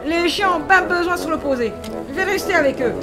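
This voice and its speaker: A woman's voice: very high-pitched, fast talker, crowd noise. A woman playing a perky sleigh driver and guide in a comedy audio drama. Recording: loud music under the voice.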